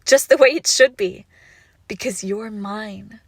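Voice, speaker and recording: A woman performs an icy, obsessive crime boss clinging to a former lover in an intimate audio drama. Her delivery is slow and deliberate, with gravelly fry in the voice and a menace that swings between hushed and unhinged. The sound is dry and close. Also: medium pitch, no echo. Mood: possessive, gloating.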